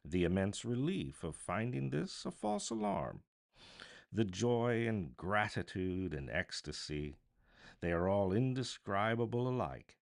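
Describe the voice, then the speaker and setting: A man reading a classic story aloud as a voice artist, with theatrical, expressive narration. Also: fairly low pitch, unhurried, vocal fry. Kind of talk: dramatic live audiobook narration of a classic novel.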